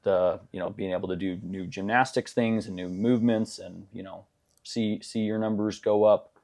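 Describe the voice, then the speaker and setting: A man's voice: on the low side, fairly even intonation, normal speed, darkish tone. A young man answering interview questions in a casual, hesitant way, with many fillers and restarts. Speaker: gym member being interviewed.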